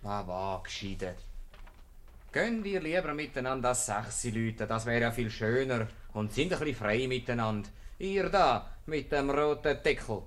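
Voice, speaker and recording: A man's voice: mid-range voice, expressive, normal speed, fairly bright tone, natural voice. A man playing a folksy, wry and garrulous court bailiff with a Swiss German accent in a 1950s radio comedy. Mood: bossy, jovial.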